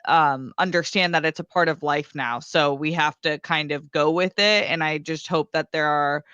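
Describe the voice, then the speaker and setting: A woman co-hosts a casual podcast in a crisp, bright voice. She talks thoughtfully, hesitating as she works through her views. The sound is dry and a bit dull in its top end. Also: sits low, normal speed, no room sound. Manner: thoughtful, hesitant, easygoing agreement. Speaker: podcast co-host.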